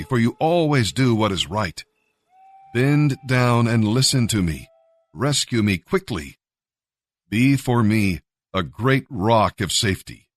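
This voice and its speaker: A man reading scripture and devotional commentary from a script in a measured, earnest manner. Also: on the low side, dry recording, clean studio sound. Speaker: Bible reader and devotional narrator. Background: music.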